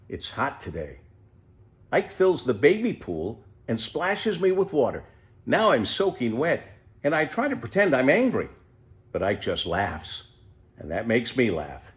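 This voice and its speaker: A man reading a children's storybook as an unhurried, contented eighty-year-old pet tortoise who savours everything with wonder. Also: mid-range voice. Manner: savouring, leisurely, full of wonder.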